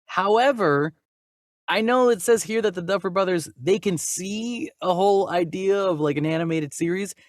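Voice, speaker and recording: A man's voice: high voice, moderate pace, dry recording, clean studio sound. A man co-hosting a movie news podcast, talking casually and conversationally, sometimes reading news items aloud. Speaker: podcast co-host and voice actor.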